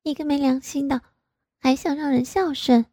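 A woman's voice: sits high, unhurried, creaky, mellow, no echo, clean studio sound, voice pushed up. A woman doing a pouty, wheedling young wife. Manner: pouting, wheedling.

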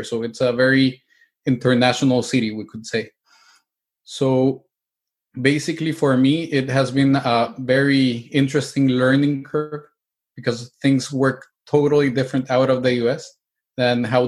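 Man from el salvador, flat even voice, lots of um and restarts. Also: medium pitch. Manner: hesitant, many restarts and fillers, explanatory. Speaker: real estate agent and investor. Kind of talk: interview answers.

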